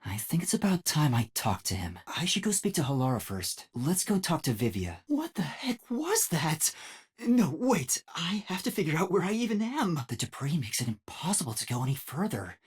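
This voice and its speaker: A man's voice: a little high, wildly swooping pitch, moderate pace, breathy edge, full character voice. A man voicing a timid, earnest young male detective trainee in a video game, hesitant and thinking aloud. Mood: focused, then startled.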